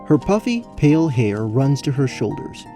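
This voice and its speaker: A man's voice: mid-range voice, sing-song, moderate pace, over music. A man giving scripted narration for a fantasy tabletop-style audio story. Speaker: narrator, game master.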